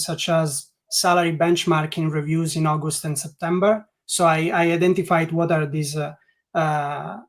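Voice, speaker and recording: A man from Italy with an Italian accent, speaking English as a second language as a guest in a webinar interview, often restarting his sentences and filling gaps with "like". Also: on the high side. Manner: hesitant, many restarts and "like" fillers. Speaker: guest learning experience designer.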